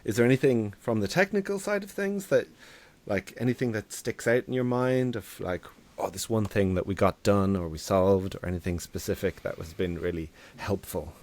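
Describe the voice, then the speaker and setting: Male host for a casual interview, lowish register, conversational and informal. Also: normal speed, few pauses, a little mellow. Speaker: interviewer, software engineer.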